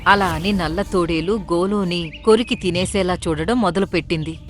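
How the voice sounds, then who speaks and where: A woman's voice: lowish voice, moderate pace, faint music behind. A woman narrator reading a scripted children's story.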